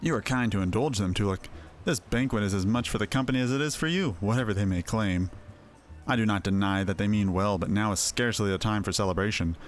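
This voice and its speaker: A man's voice: fairly low pitch, fast, faint music behind, close to an everyday voice. A man voicing a serious, cautious adviser in a fantasy game, with formal and composed speech. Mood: sober, cautioning. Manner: formal, sober, articulate. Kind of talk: voiced game dialogue.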